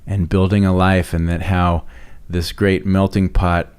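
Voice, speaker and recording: A middle-aged man hosting a relaxed interview, his voice very low and clear. He muses thoughtfully and tends to repeat words as he gets going. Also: a little slow. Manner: musing, repeats words when starting. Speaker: podcast host. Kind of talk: casual interview.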